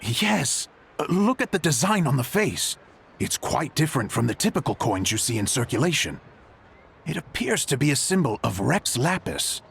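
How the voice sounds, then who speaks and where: A man's voice: medium pitch, sing-song, moderate pace, gravelly, a bit bright, a little hiss or hum. An actor playing an eager, courteous man in a video game, with an effusive, earnest and polite way of talking. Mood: excited, explaining. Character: eager, courteous merchant man who admires lucky coins. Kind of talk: anime-style video game voice acting.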